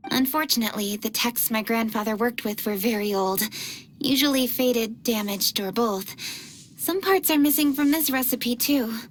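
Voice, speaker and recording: A woman's voice: on the high side, normal speed, a little hiss or hum, lightly acted. A woman playing a composed, dutiful young noblewoman in a video game, polite and formal in her speech. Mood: polite, apologetic. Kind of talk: scripted video game voice acting. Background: faint game music.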